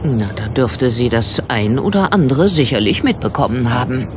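Casting: shrewd, grumbling old female sleuth in a radio mystery, lively intonation, deliberate and sceptical. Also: rumbling low, over music. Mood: thoughtful. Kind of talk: German detective audio drama acting.

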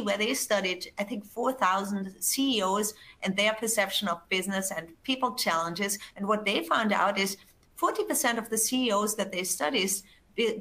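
Bright Austrian-accented woman presenting. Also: mid-range voice, poor audio. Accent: Austrian German. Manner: enthusiastic, repeats words and restarts. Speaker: webinar presenter, research executive. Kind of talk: presenting slides in a webinar. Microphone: video call.

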